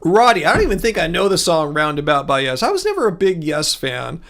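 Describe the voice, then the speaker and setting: A man's voice: fairly high pitch, sing-song, brisk, gravelly, fairly bright tone. A man hosting a livestream, chatting casually and rambling as he reads and answers viewer comments, with frequent restarts and chuckles. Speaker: livestream host.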